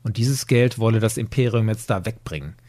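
A man's voice: on the low side, a little fast, mellow. A man hosting a podcast, retelling a story in a conversational way. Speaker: podcast host.

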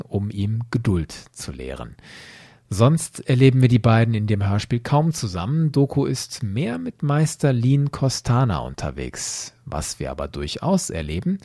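A man's voice: medium pitch, mellow, no echo, studio quality. A man speaking as a podcast host, giving scripted commentary on a story.

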